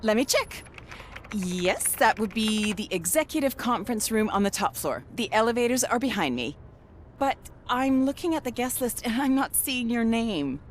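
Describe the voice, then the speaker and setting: Lady doing officious front desk receptionist for a game, polite, sing-song high voice, noisy bg. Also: animated, moderate pace, close to an everyday voice. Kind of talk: video game voice acting.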